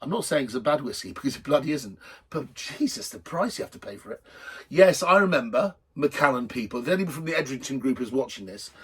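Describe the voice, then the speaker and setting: Middle aged guy with a husky voice chatting to camera about drinks, rambly, jokey, bit sweary. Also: medium pitch, lively intonation, fast, fairly bright tone. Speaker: host of a drinks video show. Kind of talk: casual, unscripted chat to camera.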